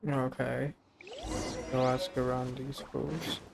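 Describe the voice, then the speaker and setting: A man's voice: mid-range voice, flat delivery, slow pace, gravelly, heavy background noise. A man streaming a game live, giving casual, muttered commentary. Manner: muttering reactions, echoing game dialogue.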